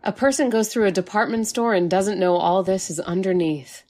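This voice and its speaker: A woman playing a timid, nervous wife in an old-time radio drama, speaking haltingly. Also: mid-range voice, a little mellow, close to an everyday voice. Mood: curious. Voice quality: halting, stammering.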